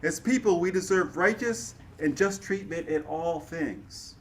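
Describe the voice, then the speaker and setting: A man playing an earnest, impassioned civil rights activist and orator in a dramatic reading, speaking in measured oratory. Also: fairly high pitch, a little hiss or hum, lightly acted. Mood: reflective, resolute. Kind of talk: dramatic reading of historical dialogue.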